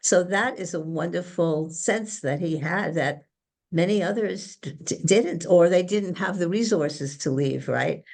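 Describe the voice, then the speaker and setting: A woman host interviewing a guest, with a low voice and a gravelly, creaky edge. She asks probing questions and affirms the answers as she goes. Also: measured pace, a little mellow.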